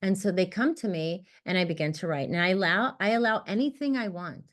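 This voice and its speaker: Woman poet, Armenian first language, fairly low voice, emphatic and animated; video-call interview. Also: brisk. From United States. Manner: expressive, emphatic, rises in pitch when animated. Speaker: poet, guest author. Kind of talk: casual conversation about writing. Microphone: computer mic on a video call.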